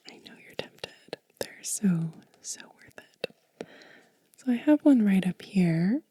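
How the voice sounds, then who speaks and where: A woman speaks in a very soft, dark and mellow whisper, as an ASMR host would. Her delivery is gentle and slow, pausing between items. The voice is breathy and recorded close and dry. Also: medium pitch, expressive, no room sound. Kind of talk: soft-spoken whispered ASMR show and tell. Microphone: close mic in a dry space.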